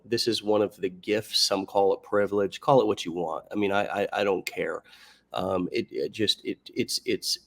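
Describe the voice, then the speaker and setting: An American man hosting a motivational interview, talking in a reflective, halting way with frequent restarts. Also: lowish voice. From United States. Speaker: podcast host.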